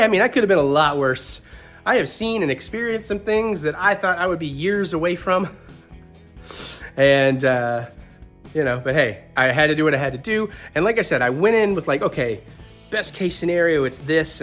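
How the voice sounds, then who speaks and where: A man's voice: a little high, a bit bright. A man with a stuffy head cold, talking casually and rambling on his vlog, often laughing. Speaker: vlogger.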